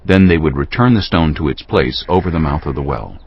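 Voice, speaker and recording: A man's voice: a bass voice, fairly quick, slightly rough. A man narrating a dramatized audio Bible in a solemn storytelling style. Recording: slightly dull sound, music underneath. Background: music.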